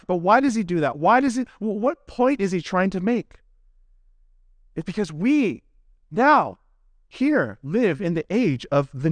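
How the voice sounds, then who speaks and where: A man preaching an expository sermon in an earnest, teacherly way, phrasing deliberately and posing rhetorical questions. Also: high-pitched, dry recording. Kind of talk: expository sermon delivered from prepared notes.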